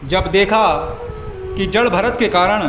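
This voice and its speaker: A man narrating a scripted religious story as a devotional storyteller, in measured, formal phrases with frequent pauses. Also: sits high. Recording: echoey voice over background music. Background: background music.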